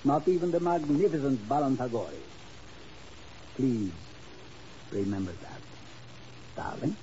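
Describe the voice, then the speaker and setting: A man's voice: mid-range voice, expressive, measured pace, close to an everyday voice. A male actor playing a greedy, self-adoring baron in a 1950s radio drama, effusive and theatrical, with oily endearments. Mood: breezy, self-important. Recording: hissy, dull old radio recording.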